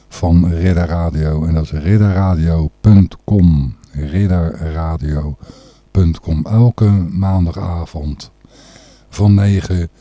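A man hosts an informal podcast in a low, very dark and mellow voice. He rambles in a halting, unscripted way, and the sound is dry and slightly unclear. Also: dry recording. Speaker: podcast host. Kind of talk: informal, unscripted radio presenting.

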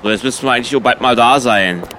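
A man's voice: medium pitch, fast talker, sharp tone, natural voice. A mid-voiced, matter-of-fact pub owner acted by a man in a loose radio play. He questions everything in a dry, colloquial way. The recording carries faint noise. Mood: businesslike.

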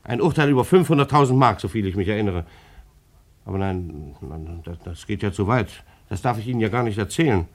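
An actor in a radio play playing a plain-spoken, law-abiding bailiff with a hesitant, conversational manner. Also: male voice, lowish voice, expressive, fairly quick. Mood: defensive.

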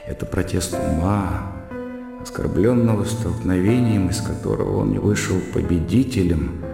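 An actor playing an earnest, self-assured educated gentleman with a reflective, precise way of speaking in a classic radio drama. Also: sits low, normal speed, gravelly. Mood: brooding, resolute. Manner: reflective, precise, bookish phrasing. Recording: reverberant hall, music behind.

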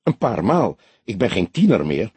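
A man's voice: fairly low pitch, sing-song, normal speed, darkish tone, slightly rough, no echo, heavily acted. A man reading an audiobook, voicing an indignant, guarded woman under questioning who answers sharply and curtly, sometimes hesitantly. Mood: surprised. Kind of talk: audiobook character dialogue.

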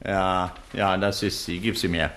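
An actor playing a smug, menacing doctor and criminal mastermind in a theatrical, commanding 1960s German radio play style. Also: male voice, sits low, flat delivery, unhurried, a bit bright. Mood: brisk, irritated. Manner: theatrical, self-satisfied, commanding. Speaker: villain in a radio crime play.

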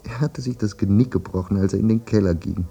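An actor playing a haunted, war-maimed soldier who recalls the war in a reflective, self-questioning radio drama monologue. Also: male voice, fairly low pitch, fast talker, gravelly, dark tone, slightly rough, heavily acted. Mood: numb, weary. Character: war-maimed soldier brooding over his memories, haunted, plain-spoken. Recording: muffled radio play recording with music. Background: music.

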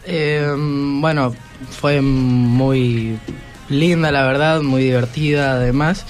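A teenage male student speaking informally and politely as a guest in a radio studio, somewhat halting. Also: mid-range voice, steady tone. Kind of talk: informal prepared thanks and comments. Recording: music behind the voice.

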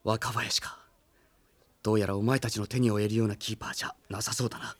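A man voicing a cool, menacing rival soccer prodigy in an anime, disdainful and unhurried. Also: fairly low pitch, flat delivery, close to an everyday voice. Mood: cold, appraising. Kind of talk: anime voice acting.

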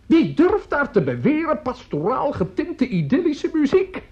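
Excitable, swooping opera conductor. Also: male voice, very high-pitched, measured pace, heavily acted. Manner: effusive, stammering, grumbling, swearing. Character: excitable, coarse, opinionated male opera conductor at his piano. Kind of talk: radio play acting. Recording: dull old radio recording, piano music.

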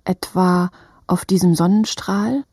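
A woman narrating a literary audio drama expressively, often repeating phrases and turning breathy at moments. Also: lowish voice, slow, a little mellow, dry recording, clean studio sound.

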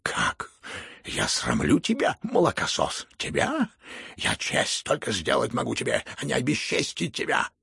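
A man's voice: mid-range voice, big pitch swings, brisk, creaky, hardly any pauses, husky, dry recording, studio quality, heavily acted. A man voicing a drunken, pompous old general in an audiobook, wounded and tragic, given to roaring and stammering. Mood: furious roaring. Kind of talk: dramatized audiobook reading.

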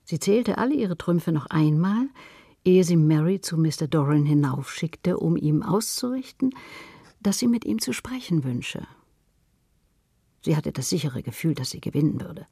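A woman's voice: low-pitched, dark tone, slightly rough, no room sound, studio quality. A woman reading literary prose as a scripted narrator.

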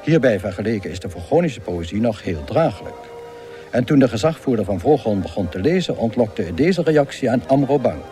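A man narrating a comic space radio drama as a wry storyteller, in a measured, deadpan manner. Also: mid-range voice, soft background music, natural voice.

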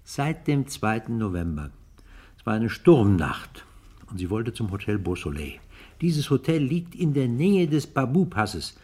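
Stern police detective, radio crime drama, animated swinging pitch, husky edge, argumentative, emphatic. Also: male voice, unhurried. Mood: matter-of-fact, pressing. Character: stern, insistent male police detective, sure of his case.

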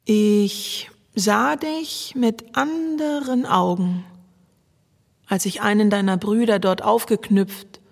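A woman with a breathy, husky voice reciting poetic lines. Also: mid-range voice, slow. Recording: long reverberant hall, faint music. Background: faint music.

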